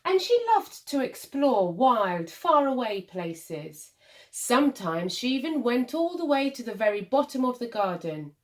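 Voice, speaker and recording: A woman reading a children's picture book aloud like a primary school teacher, expressively, with deliberate dramatic pauses and emphasis for young listeners. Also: fairly high pitch, very animated.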